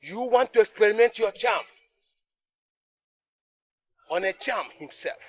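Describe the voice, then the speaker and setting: An actor playing an outraged, commanding king who shouts orders and disowns his son. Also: high voice, lively intonation, measured pace. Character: furious proud male king, commanding.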